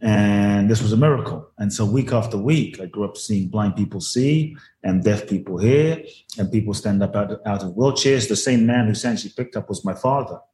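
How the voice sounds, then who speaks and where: A man's voice: fairly low pitch, moderate pace, mellow, roomy sound, slight background noise, poor audio. A man from Ghana, a spiritual teacher telling his life story earnestly in an interview over a video call, repeating words for emphasis.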